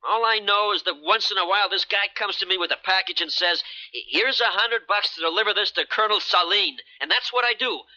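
An actor with an American accent playing a brash, slippery smuggler in a 1970s radio drama, protesting glibly with stammers. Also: male voice, high voice, fairly quick, bright, forceful tone. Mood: indignant. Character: brash, slippery American boat-owning smuggler, indignant under pressure. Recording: muffled old radio broadcast recording.

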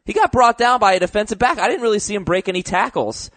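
A man hosting a casual sports talk show, animated and giving hot takes, his voice a little rough from getting sick. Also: sits high, quick pace, no echo. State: slightly sick voice. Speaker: podcast host.

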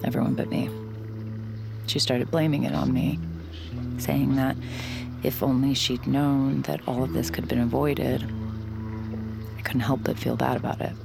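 A woman's voice: very deep voice, normal speed, vocal fry, long pauses, music in the background, full character voice. An actress playing a wry, guarded young woman with a secret and an anxious streak, in audio drama dialogue and narration. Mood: reflective.

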